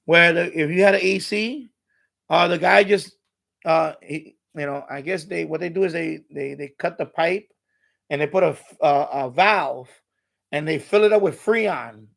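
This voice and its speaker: A man hosting a casual live stream, rambling and repeating himself in halting, unscripted sentences. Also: a little high, gravelly, a bit bright. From New York City, USA.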